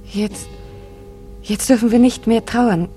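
An actress playing a young, warm-hearted village girl with a secret, earnest and often hushed, in a German radio drama. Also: on the high side, takes it slowly, faint music behind. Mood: eager, bright.

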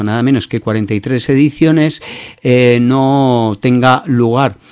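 This is a man with a Peninsular Spanish accent and a medium-pitched voice. He presents news commentary as a radio host, informally and with clear opinions. His phrasing halts and regroups as he goes, and the sound is dry, with little room around it. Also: darkish tone, no echo.

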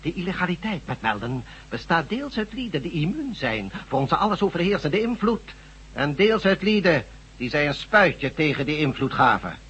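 A man acting in a radio drama as a smug, menacing god posing as a doctor, deliberate and condescending. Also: on the high side, big pitch swings, a bit bright, husky, muffled recording, exaggerated voice. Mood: smug, gloating.

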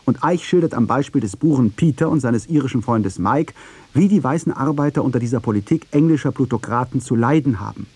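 A man delivering scripted commentary for a radio feature in a husky, mellow voice. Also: medium pitch, lively intonation, fairly quick, dry recording. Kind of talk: scripted narration.

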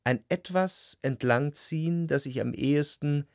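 An actor playing an introspective, melancholy, jealous gentleman narrator in a literary radio drama, speaking in a measured, articulate way. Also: on the high side, dry recording, clean studio sound. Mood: pensive, rapt.

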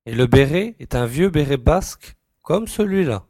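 A man's voice: a little high, expressive, normal speed, darkish tone, dry recording, studio quality. A man playing a keen, self-assured young reporter turned sleuth, emphatic and declarative in an audiobook drama. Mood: confident, grave. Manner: emphatic, repeating words, declarative.

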